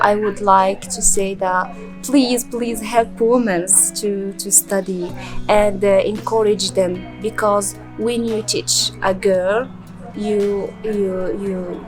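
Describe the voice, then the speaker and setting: A woman in her twenties from rural Morocco, a Berber speaker with a Moroccan accent, answers interview questions in hesitant English with many fillers and restarts. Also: medium pitch. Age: 20s. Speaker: interviewee and volunteer.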